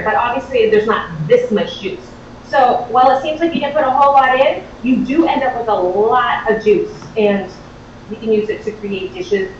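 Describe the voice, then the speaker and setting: A woman in her thirties chatting excitably and informally as she hosts a live cooking demonstration. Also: sits high. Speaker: host of a live cooking show. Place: home-style kitchen, indoors. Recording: very echoey, distant, dull, faint noise.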